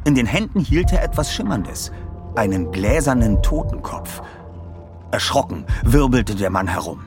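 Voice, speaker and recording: Animated male narrator over music. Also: mid-range voice, moderate pace. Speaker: podcast host and audiobook reader. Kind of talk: scripted narration and presenting.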